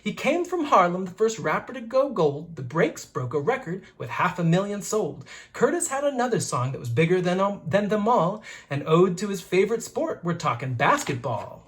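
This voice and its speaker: A teacher reading a rhyming children's book aloud in a rhythmic, expressive way. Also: mid-range voice, moderate pace, hardly any pauses.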